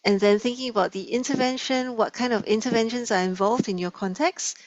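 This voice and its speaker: A woman's voice: fairly high pitch, brisk, dry recording. A woman presenting informally on a video call in a hesitant, think-aloud way. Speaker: presenter at an online kickoff meeting. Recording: slightly dull, dry video call audio.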